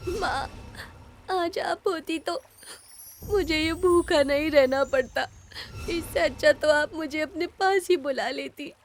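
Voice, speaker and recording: Woman as a very high-voiced, plaintive young girl. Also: slight background noise. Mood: sorrowful. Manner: plaintive, timid, slow. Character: meek, mistreated, sorrowful young girl. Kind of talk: animated moral story acting.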